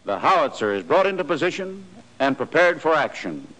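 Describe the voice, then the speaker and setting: Male, American, slightly high voice; formal, matter-of-fact instructional narration in 1940s training-film style. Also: sing-song, brisk, fairly bright tone, rough recording.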